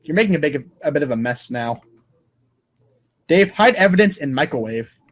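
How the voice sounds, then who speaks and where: A man's voice: a little high, expressive, normal speed. A man reading comic narration aloud in a casual, irreverent way, stumbling over lines now and then. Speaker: podcast co-host and reader.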